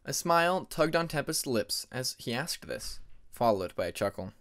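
A man's voice: medium pitch, lively intonation, normal speed, a bit bright. A man narrating scripted fantasy fiction as an audiobook reader.

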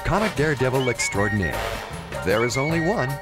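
A man reading scripted promotional narration with a lively sales-pitch delivery. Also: a little fast. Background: loud music bed.

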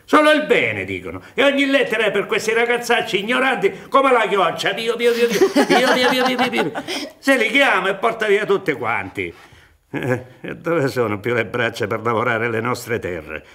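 A man's voice: high-pitched, very animated, normal speed, bright, forceful tone, exaggerated voice. An older man acting in a radio play as a grumbling, sardonic old Sicilian peasant, exclamatory and full of muttered asides. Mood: mocking.

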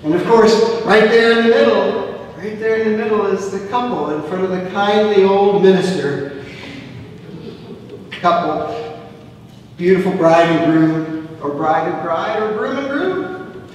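A man in his sixties preaching a sermon from the pulpit, telling the story with gestures and dropping to a hush on key phrases. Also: high voice, unhurried, long pauses, a little hiss or hum. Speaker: pastor. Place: church sanctuary, at the pulpit. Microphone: far camera, room mic. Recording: echoey church hall from far off.

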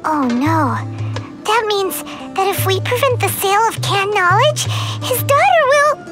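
A small, childlike fairy sidekick from a video game. The voice is very high and chirpy, with lively, bouncing pitch. Loud music plays behind it. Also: female voice, a little slow, exaggerated voice. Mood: worried. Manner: chirpy, eager, exclamatory. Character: small, childlike, excitable female fairy companion. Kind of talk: English video game voice acting.

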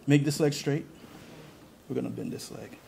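A man's voice: mid-range voice, fairly even intonation, normal speed, warm tone. A man in his thirties, a chiropractor giving casual, reassuring, coaxing instructions to a patient in an indoor treatment room. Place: treatment studio with hard floor, indoors. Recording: clearly noisy room.

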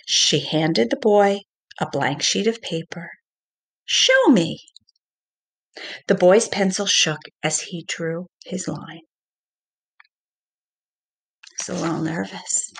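Slow-paced middle-aged woman reading to children. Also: low-pitched, creaky, low-quality recording. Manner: deliberate, expressive, addressing young children. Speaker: pre-K teacher reading to children. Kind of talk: children's storybook read-aloud.

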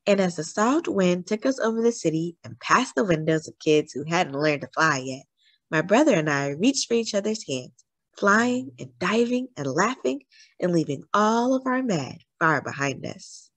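A woman reading a children's picture book aloud expressively, with dramatic, deliberate phrasing. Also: lowish voice, big pitch swings, a bit bright, no room sound. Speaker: story reader, host of a read-aloud channel.

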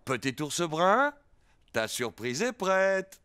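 A man's voice: fairly high pitch, sing-song, takes it slowly, noisy background. A man voicing a kindly, affectionate grown-up father bear in a preschool cartoon. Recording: loud music behind the voice.

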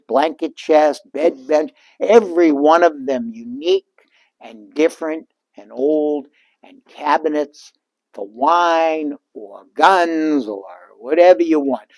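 A man's voice: a little high, darkish tone, no room sound. An older man hosting a walking tour of a large furniture showroom, talking to viewers in an enthusiastic salesman's patter through a lapel mic.

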